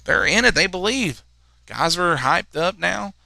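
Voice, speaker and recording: A man in his twenties calling a high school soccer game, chatting in rambling asides between plays. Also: animated, unhurried, gravelly, bright, forceful tone, no echo. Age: 20s. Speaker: play-by-play commentator.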